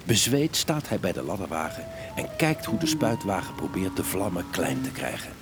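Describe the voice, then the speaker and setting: Low male voice, children's story narrator, hugely varied intonation, dramatic delivery, music underneath. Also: big pitch swings, normal speed. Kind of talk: expressive scripted storytelling. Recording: music bed behind the narration.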